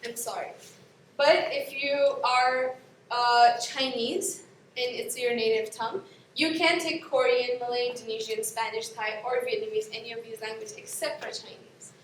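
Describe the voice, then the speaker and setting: High-voiced young woman in an echoey classroom. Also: steady tone, moderate pace, recorded from a distance, a little hiss or hum. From Pakistan. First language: Urdu. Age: young adult. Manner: chatty, explains with examples, self-corrects often. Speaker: student guide. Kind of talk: presentation explaining course registration. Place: classroom in front of a screen. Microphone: far camera.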